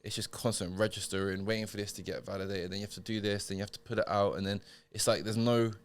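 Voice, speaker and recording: Guy in his 30s, low-ish voice, talks quick and rambly, like like like. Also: flat delivery. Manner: rambling, filler-heavy, lots of "like". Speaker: podcast guest, model and actor. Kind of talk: casual chat.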